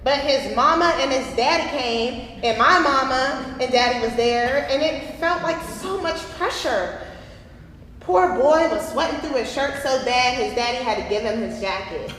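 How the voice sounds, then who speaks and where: A woman's voice: sits high, a little slow, a bit bright. A young woman acting a frustrated, yearning twenty-one-year-old in a staged play reading, recorded in an echoey, noisy hall. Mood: exasperated. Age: young adult.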